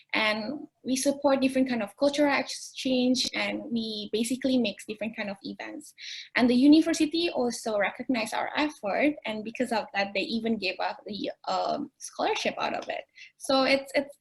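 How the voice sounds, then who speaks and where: A woman's voice: a little high, slightly rough. A young woman from Indonesia, a university student, chatting about hall life on a video call with many fillers. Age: 20s. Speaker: student ambassador. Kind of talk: casual sharing on video call.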